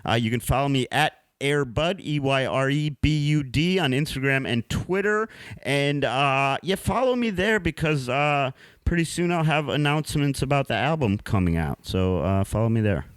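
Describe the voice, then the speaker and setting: A man's voice: medium pitch, animated, moderate pace, smooth, dry recording. A man in his thirties co-hosting a casual podcast, hesitant with dry jokes. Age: 30s. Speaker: podcast co-host. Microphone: studio microphone.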